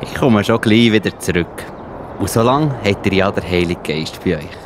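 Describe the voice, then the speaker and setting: A man with a Swiss accent playing a gentle, reassuring Jesus who explains things patiently in a children's Bible audio play. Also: on the low side, animated, normal speed, background noise, natural voice. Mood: calm, patient. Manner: explains patiently in simple words.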